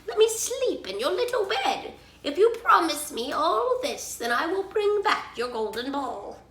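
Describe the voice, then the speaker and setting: A pleading male frog from a fairy tale, played by a woman reading aloud, pitched high and swooping. The words are drawn out and insistent, in a bright tone. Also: sing-song, measured pace, reverberant, exaggerated voice. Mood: bargaining. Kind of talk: storybook character read aloud.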